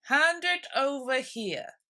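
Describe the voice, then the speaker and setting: Woman playing a slow, pompous storybook dodo. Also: high voice, expressive, sharp tone, voice pushed up, heavily acted. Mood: solemn. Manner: grave, pompous. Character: solemn, self-important male dodo in a storybook.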